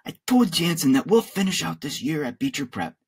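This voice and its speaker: A stern father acted out by a man reading a story, husky and firm. His pitch moves a lot as he reproves, exasperated and in charge. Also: very animated, fairly quick, heavily acted.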